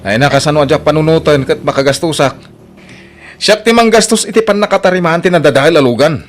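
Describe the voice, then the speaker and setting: A man's voice: a little high, expressive, fast, slight background noise, close to an everyday voice. A man playing a troubled, self-pitying husband who drinks, complaining glumly in an audio drama with an Ilocano accent. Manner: glum, complaining.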